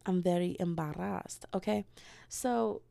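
A woman's voice: on the low side, a little slow, vocal fry, warm tone, dry recording, clean studio sound. A young American woman hosting a solo podcast, rambling and joking at herself with giggles. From United States. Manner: rambling, self-deprecating, giggly. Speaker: podcast host.

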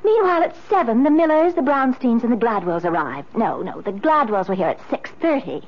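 Wronged, refined wife, high expressive voice, pointed and formal, wounded, vintage radio drama. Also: female voice, fairly quick. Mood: icy reproach. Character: Margaret, wronged, genteel wife, wounded and demanding. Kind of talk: 1970s radio drama acting. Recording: muffled vintage radio broadcast.

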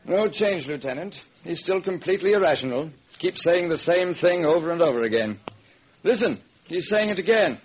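Husky, grave hospital doctor. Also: male voice, a little high, expressive, moderate pace, rough recording. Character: grave hospital psychiatrist, adult man. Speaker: actor. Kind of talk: old-time radio drama acting. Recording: vintage radio recording.

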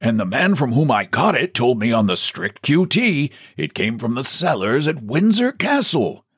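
A man's voice: mid-range voice, very animated, normal speed, slightly rough, voice pushed up. A man voicing a stout, hearty middle-aged businessman with a jovial, boastful manner.

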